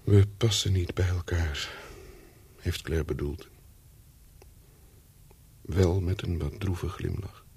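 Lonely storyteller for radio drama, extremely deep and husky, brooding delivery. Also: male voice, darkish tone, heavily acted. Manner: slow, brooding, deliberate. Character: lonely, brooding man narrating his own story. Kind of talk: radio play monologue and dialogue.

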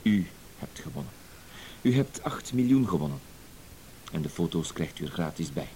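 An actor playing a cool, self-assured professional blackmailer in a radio drama, terse and matter-of-fact. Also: male voice, low-pitched, very animated, moderate pace, creaky, warm tone, exaggerated voice. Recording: hissy old radio play recording.

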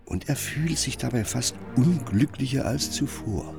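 A man narrating a literary radio drama in a measured, storytelling way. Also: medium pitch, expressive, vocal fry, music in the background.

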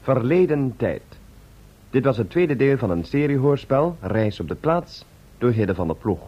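A man's voice: medium pitch, sing-song, a little slow. A man announcing radio drama credits in a formal, old-fashioned style. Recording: dull old radio recording.

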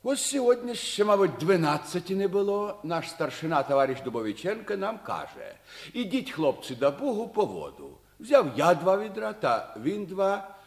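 A cheerful young Ukrainian soldier in an old Soviet radio play. He talks in a folksy, bantering way with a highish, very animated voice. The recording is roomy. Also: normal speed. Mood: earnest storytelling. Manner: folksy, jocular storytelling. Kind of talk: 1950s Soviet radio theatre acting.

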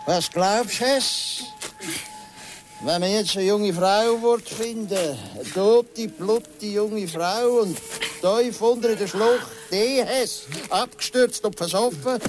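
An actor with a Swiss German accent playing a cruel, gloating villain in a radio drama, taunting with mock sweetness. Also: male voice, high voice, noisy background. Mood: mocking, triumphant. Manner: mocking, falsely sweet, drawn-out taunting. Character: menacing, gloating, cruel male villain taunting his victims.

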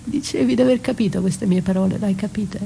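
An actress playing a wounded, betrayed wife in a theatrical monologue, bitter and defiant, with halting, intense delivery. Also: female voice, mid-range voice, warm tone, a little hiss or hum, poor audio. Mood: bitter, probing. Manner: dramatic, halting, intense, dropping to breathy whispers. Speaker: stage actress performing a solo.

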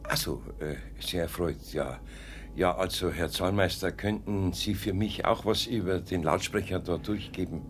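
An actor playing a gruff, kindly older craftsman with a Bavarian accent, muttering and hesitant, in a radio play. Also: sits low, moderate pace, a little hiss or hum, close to an everyday voice. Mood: awkward. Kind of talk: 1970s radio play acting.

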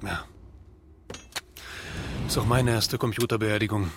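An actor playing a laconic, wry, dutiful office official in a radio drama, with a deadpan manner. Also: male voice, on the low side, a little slow. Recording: loud background noise with clicks.

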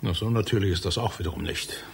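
An actor playing a pompous, irascible, vain scientist in a German radio play, with a husky voice and a condescending, exasperated manner. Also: male voice, low-pitched, fast talker, full character voice. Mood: lecturing.

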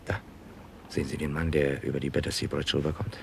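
Very deep, gravelly detective. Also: male voice, monotone, a little hiss or hum, full character voice. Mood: calm, confiding. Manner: dry, deliberate, confiding. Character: Mr. Nutting, unflappable, intuitive Scotland Yard detective. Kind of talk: 1960s German crime radio play.

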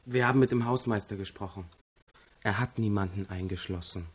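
An actor playing a practical, calm young man in a radio crime drama, speaking matter-of-factly. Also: lowish voice, fairly quick, slightly rough.

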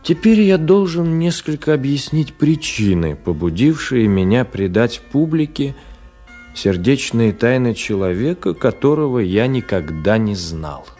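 An actor playing a reflective author who narrates a radio play in a measured, literary manner. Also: male voice, medium pitch, big pitch swings, dark tone, soft background music.

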